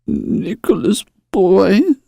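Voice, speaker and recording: A meek, aggrieved shopkeeper of sixty in a radio play. The voice is pitched high and swoops, with a weak, hesitant whine and a flustered manner. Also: male voice, sing-song, slow, creaky, mellow, no room sound, clean studio sound, heavily acted. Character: meek, flustered sixty-year-old haberdasher husband, aggrieved. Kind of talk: dramatised short story acting.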